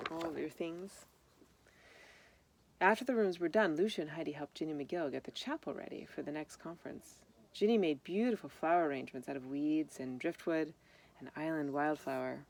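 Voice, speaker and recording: A woman's voice: low-pitched, creaky, darkish tone, low-quality recording. A woman reading a children's picture book aloud, explaining things simply and speaking directly to young listeners. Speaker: storybook reader.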